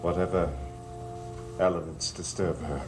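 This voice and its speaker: Brooding, low-voiced master of the house. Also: male voice, little pitch movement, a little slow. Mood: guarded. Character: brooding, grave master of a gothic mansion. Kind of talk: gothic TV soap opera acting. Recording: old TV audio, very loud music.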